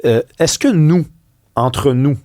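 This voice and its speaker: A man with a Québécois French accent hosting a talk radio discussion, asking pointed questions in an animated, rhetorical way. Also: a little high, slow pace, dry recording, studio quality. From Quebec. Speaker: host.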